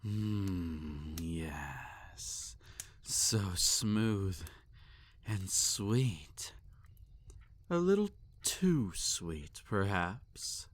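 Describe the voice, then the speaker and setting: A man's voice: sits low, sing-song, very slow, heavily acted. A man playing a possessive, sadistic male vampire in intimate roleplay audio, with a theatrical, teasing and condescending manner. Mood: savoring, coaxing.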